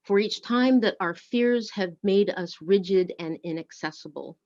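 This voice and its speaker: A woman giving a solemn, deliberate scripted reading of poetry and litany as a worship service speaker. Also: mid-range voice, a little mellow, lo-fi sound. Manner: measured, deliberate phrasing.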